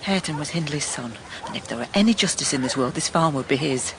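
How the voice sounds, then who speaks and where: An actress playing a sensible, compassionate housekeeper who narrates a radio drama in a low, grave, measured voice. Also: female voice, expressive, noisy background. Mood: firm, pleading.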